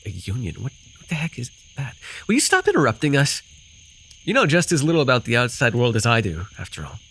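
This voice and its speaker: A man's voice: mid-range voice, wildly swooping pitch, quick pace, a bit bright, husky, exaggerated voice. A man acting a rambling, amused forest fae in a fantasy ASMR roleplay, telling his tale with hesitant, apologetic asides. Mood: bemused, exasperated. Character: rambling, amused male forest-dwelling fae storyteller with bird companion. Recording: noisy with cricket and insect ambience. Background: night forest ambience with crickets and insects.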